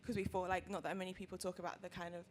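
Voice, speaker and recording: A young woman, a student, speaks on a panel about the magazine she runs. She talks fast and loosely, leaning on like and kind of. A faint hiss of room noise sits behind her. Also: fairly low pitch, flat delivery. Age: young adult. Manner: quick, casual, lots of likes and kind ofs. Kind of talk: panel discussion.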